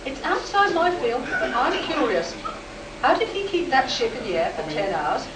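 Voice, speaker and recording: A woman's voice: high voice, very animated, normal speed. A woman playing a composed, sceptical air base medic in a live sci-fi radio play. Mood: reflective, puzzled. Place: live convention performance, recorded from the room. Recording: echoey, distant, noisy room recording.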